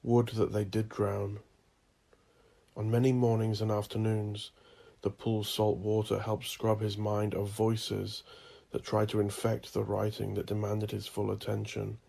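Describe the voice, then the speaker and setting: A man reads gothic horror in a low voice full of heavy gravel. His delivery is slow, ominous and deliberate, shaped as theatrical storytelling for dark fiction. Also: monotone. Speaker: narrator of a horror audiobook drama. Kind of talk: dramatic gothic horror narration.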